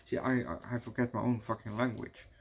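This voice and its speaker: A Dutch-accented man talks through a movie review in a loose, rambling way. He swears freely and fills his sentences with "you know" as he wanders off topic and back. His voice sits at an ordinary middle pitch. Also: level tone, a little fast. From Netherlands.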